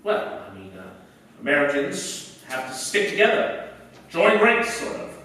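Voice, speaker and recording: A man's voice: high voice, expressive, measured pace, gravelly, sharp tone, far from the mic, full character voice. A man playing an ornery, brusque neighbor in a 1940s style radio drama. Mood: apologetic, earnest. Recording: echoey stage from afar, faint noise.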